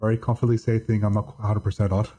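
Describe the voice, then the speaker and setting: A man guesting on a podcast, talking in a deadpan monotone and often stopping to restart his sentences. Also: on the low side, very fast, background noise. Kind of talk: casual chat.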